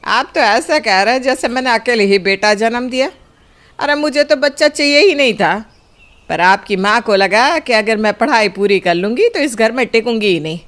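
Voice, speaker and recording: A woman's voice: a little high, expressive, moderate pace, a bit bright. A woman voicing an aggrieved, complaining middle-aged wife and mother in a cartoon family drama. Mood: bitter complaining.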